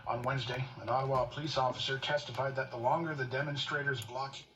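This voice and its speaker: A man's voice: medium pitch, fairly even intonation, fast, pushed and bright, recorded from a distance, highs cut off. A man reading broadcast news, heard through the speaker of a vintage radio. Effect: played through a vintage radio speaker. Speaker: radio announcer.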